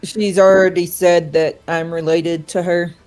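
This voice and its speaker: A woman playing a tabletop game, chatting casually and improvising in a hesitant, deadpan way. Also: on the low side, unhurried, faint music behind. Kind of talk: casual, unscripted in-game chat.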